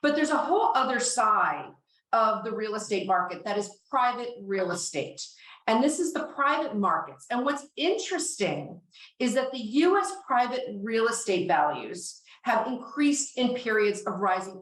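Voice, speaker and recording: A woman's voice: mid-range voice, big pitch swings, normal speed, fairly bright tone. A woman co-hosting a finance podcast, explaining eagerly in casual talk while losing her voice. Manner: enthusiastic, explanatory. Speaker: podcast co-host. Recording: echoey, distant from the mic.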